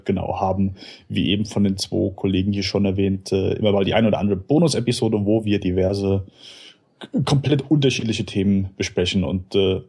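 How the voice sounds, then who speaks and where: Husky, rambling male podcast guest. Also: on the low side, dark tone. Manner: rambling, self-deprecating, long nested sentences. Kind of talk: casual chat.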